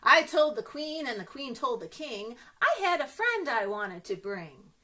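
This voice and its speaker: A woman with a high, bright voice leads a story time for small children. Her delivery is very lively and sing-song, swinging widely in pitch. She talks directly to the kids and often turns to them with questions. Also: a little slow. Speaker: children's librarian reading stories to kids. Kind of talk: read-aloud story time for young children.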